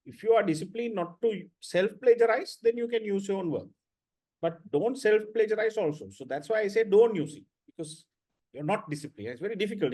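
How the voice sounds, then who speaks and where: A man's voice: sits high, a little mellow. A man lecturing students in an online class, blunt and repetitive, often checking with "right?".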